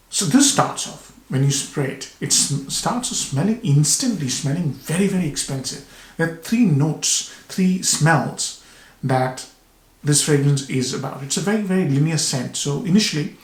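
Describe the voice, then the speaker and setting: A man with a cold, hoarse and with a blocked nose, reviewing a fragrance to camera with enthusiasm, repeating words and pausing for breath. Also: mid-range voice. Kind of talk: casual product review to camera. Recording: echoey room.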